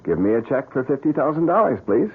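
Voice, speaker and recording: A man's voice: mid-range voice, very animated, quick pace, a little hiss or hum. An American actor playing a scheming, evasive man in an old-time radio drama. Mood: sly, confident. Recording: tinny, band-limited old radio broadcast.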